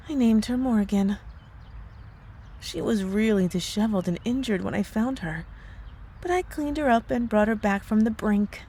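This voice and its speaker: A woman playing a possessive witch girlfriend in an ASMR roleplay, cooing and teasing with cutesy sweetness and quiet menace. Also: on the high side, breathy edge. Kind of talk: ASMR roleplay audio drama.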